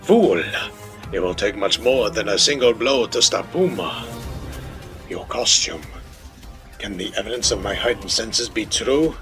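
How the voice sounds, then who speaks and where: A man's voice: lowish voice, expressive, a little slow, pauses often, over music. A man playing a proud, menacing cat-man villain in a comic dub, with a pompous, formal delivery. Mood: scornful, astonished.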